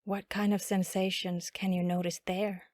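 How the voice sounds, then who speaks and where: A woman guiding a body scan meditation in a calm, instructional manner with long pauses. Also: medium pitch, dry recording, studio quality. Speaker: meditation guide.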